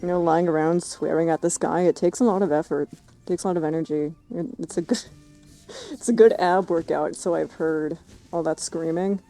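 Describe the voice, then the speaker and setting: A woman streaming a game with a low, mellow voice. She reacts casually in a dry, joking way, with music playing behind her. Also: fairly even intonation, moderate pace, rough recording. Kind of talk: casual live commentary.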